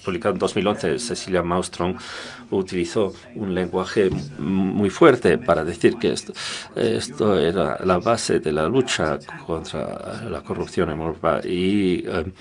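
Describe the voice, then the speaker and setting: Deep-voiced man interpreting haltingly. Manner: halting, frequent restarts and filler sounds.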